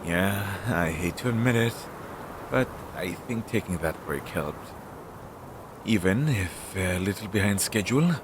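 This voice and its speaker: An anxious demon familiar in crow's guise, voiced by a man for an audio roleplay. He talks slowly in a fairly low voice, hesitant yet chatty and devoted to his master. Clear background noise runs through the rough home recording. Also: animated. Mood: weary, relieved. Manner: hesitant, chatty, with sighs and trailing asides. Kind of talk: scripted audio roleplay addressed to the listener.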